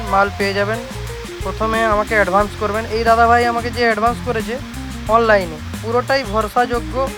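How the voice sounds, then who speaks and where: A shop owner with a West Bengal accent giving an informal, insistent, repetitive promotional talk to viewers. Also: mid-range voice.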